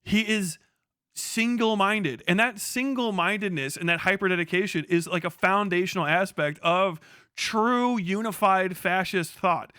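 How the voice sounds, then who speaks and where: Bright-voiced man giving emphatic livestream commentary. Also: sits high, unhurried, clear, resonant tone, no echo, clean studio sound. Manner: emphatic, profane, opinionated. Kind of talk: casual unscripted political commentary, reacting to video clips.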